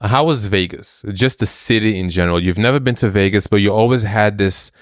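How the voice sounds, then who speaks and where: A man's voice: lowish voice, brisk, no echo, clean studio sound. A man co-hosting a podcast, chatting in an upbeat, playful way. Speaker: podcast co-host. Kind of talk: casual chat.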